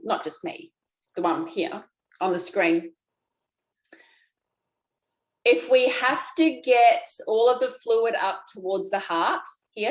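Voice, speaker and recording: A woman's voice: medium pitch, roomy sound, poor audio. A woman teaching a guided self-massage class, chatty and folksy, talking straight to her viewers. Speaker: lymphatic drainage instructor.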